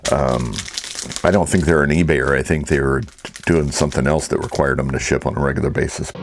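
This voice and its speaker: A man's voice: a bass voice, fairly even intonation, brisk, a little hiss or hum. A man giving a casual, rambling and easygoing vlog talk as an online reseller.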